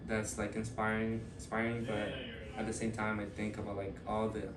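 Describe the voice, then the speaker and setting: A young man in his early twenties from Ecuador, answering interview questions in a thoughtful, hesitant way with many pauses and fillers. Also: lowish voice, completely flat delivery, roomy sound, recorded from a distance, crowd noise. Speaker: student interviewee.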